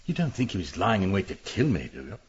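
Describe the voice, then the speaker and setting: An older gentleman with a husky voice and very animated intonation. He is genteel, smug and pompously formal, as heard in radio mystery drama, slightly muffled. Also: low-pitched, fast, heavily acted. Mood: flustered. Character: older, genteel, smug male publisher and antiques collector.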